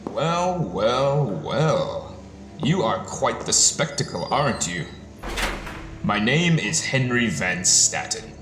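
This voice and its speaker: A man's voice: mid-range voice, very animated, slow. A man playing a smug, rich collector in an audio drama, taunting his captive with condescending, mocking delivery in an echoey room. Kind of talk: theatrical audio drama villain acting. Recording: echoey room with music underneath.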